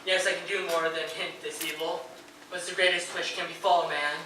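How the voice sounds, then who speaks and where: Echo-drenched man acting a high, anguished grieving poet. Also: little pitch movement, brisk, natural voice. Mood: mournful, intense. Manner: fervent, declamatory, swelling into anguished outbursts. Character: grief-stricken, nervous, anguished male poet mourning his wife. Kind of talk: theatrical dramatic reading of poetry and letters. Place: live performance in a radio station's performance room. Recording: very echoey live room, distant mic, faint noise.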